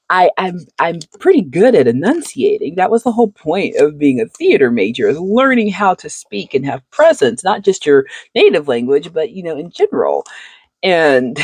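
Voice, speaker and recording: Woman, husky tone, dry close sound, chatty, reflective, laughs a lot, seated at her cards. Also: fairly low pitch, darkish tone, dry recording. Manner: chatty and reflective, laughs often between thoughts; seated, talks while handling cards. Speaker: channel host. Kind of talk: casual chat while sleeving cards.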